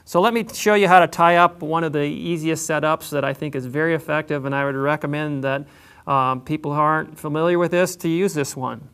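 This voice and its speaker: A man explaining how to tie a drift fishing setup, in a plain, unhurried way with frequent pauses. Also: a little high, steady tone, fairly bright tone, smooth. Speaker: fishing instructor. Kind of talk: instructional demonstration.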